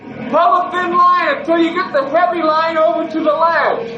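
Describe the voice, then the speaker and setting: A man's voice: very high-pitched, steady tone, moderate pace, highs cut off, a crowd in the background. A man playing an eager, earnest young rescue sidekick in an old-time radio adventure. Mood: urgent, instructing. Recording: echoey, noisy old radio recording.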